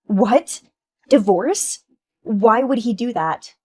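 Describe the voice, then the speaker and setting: A woman voicing Emma, an entitled young wife who dodges blame, in a shrill, whiny and indignant voice. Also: expressive, slow pace, slightly rough, no room sound, heavily acted. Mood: indignant whining.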